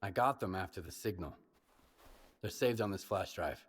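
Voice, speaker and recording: A man playing a gruff, stoic survivor hero in a video game, blunt and husky. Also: low-pitched, lively intonation, normal speed. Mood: serious, probing.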